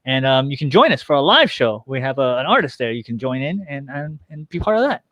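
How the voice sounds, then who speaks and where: A man's voice: mid-range voice, very animated, brisk, a bit bright, no echo, highs cut off. A man chatting casually as a guest on a show, himself a radio show co-host. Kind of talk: casual conversational chat.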